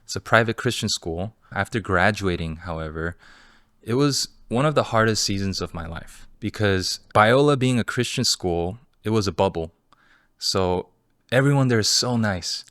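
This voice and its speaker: A man in his thirties from Los Angeles, a guest entrepreneur telling his story in a relaxed, candid way with many fillers. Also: on the low side, moderate pace, no echo, clean studio sound. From Los Angeles, California. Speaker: guest, entrepreneur and fund manager. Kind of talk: casual interview storytelling.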